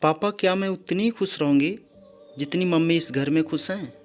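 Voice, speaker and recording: Man voicing a determined young daughter, highish voice. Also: moderate pace, close to an everyday voice. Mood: firm, challenging. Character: outspoken young adult daughter, hurt but determined. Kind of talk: storybook character dialogue. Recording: background music under the voice.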